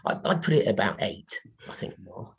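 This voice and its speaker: A man, a panel guest who talks in a halting way with many restarts, over a video call. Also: lowish voice, animated, vocal fry, a little hiss or hum. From England.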